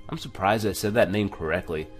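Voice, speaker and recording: A man's voice: deep voice, a little fast. A man hosting a let's play, reading game narration aloud and adding casual, joking commentary. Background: music.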